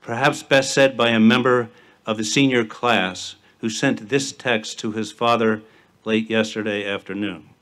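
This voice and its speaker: A middle-aged man, a school headmaster, giving a formal commencement address at a podium outdoors, speaking in measured, rhythmic lists. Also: lowish voice, fairly even intonation, a bit bright. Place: outdoor stage at a campus ceremony. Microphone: podium microphone with PA.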